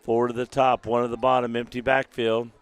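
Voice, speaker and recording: A man with a deep, gravelly voice, calling a live local high school football game in a folksy manner while recovering from a lost voice. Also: a little fast, dry recording. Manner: folksy, excitable on big plays. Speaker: play-by-play announcer. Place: outdoor stadium press box. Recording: outdoor stadium broadcast.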